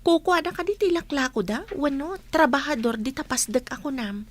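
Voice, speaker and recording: A woman's voice: high-pitched, animated, a little fast. A woman playing a nosy, teasing young friend in an audio drama. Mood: curious.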